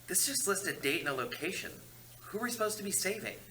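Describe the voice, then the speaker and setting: A man's voice: high voice, animated, quick pace, bright, forceful tone, slight background noise, rough recording. A man reading the part of a sarcastic, irritable dead man at a screenplay table read. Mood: irritated. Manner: snarky, exasperated.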